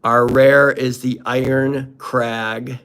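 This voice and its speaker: A man's voice: medium pitch, little pitch movement, slow, a bit bright, clean tone. A man hosting a casual unboxing commentary, talking in a playful, jokey, exclamatory way. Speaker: host opening card packs.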